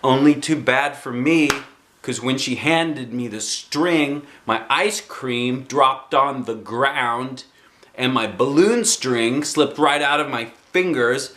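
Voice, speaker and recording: A man in his forties reading a children's book aloud expressively, with dramatic pauses and emphasis. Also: medium pitch, a bit bright. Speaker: storybook reader. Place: small living room indoors.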